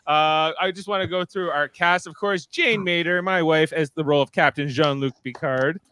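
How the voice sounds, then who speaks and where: A woman's voice: sits low, normal speed, background noise. A woman hosting a podcast, speaking casually as she wraps up. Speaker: podcast host. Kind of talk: casual sign-off.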